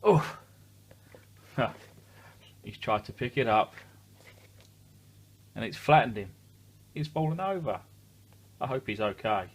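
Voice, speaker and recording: A man's voice: lowish voice, animated, slow, pauses often, breathy edge. A man reading a picture book aloud to children expressively, adding simple chatty asides and questions for the young listeners. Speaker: children's story reader.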